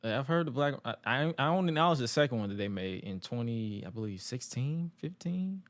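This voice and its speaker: A man's voice: medium pitch, lively intonation, a little fast, dry recording. A man in his late twenties co-hosting a podcast, chatting casually and joking bluntly with slangy asides. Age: 20s. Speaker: podcast co-host. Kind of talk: casual chat.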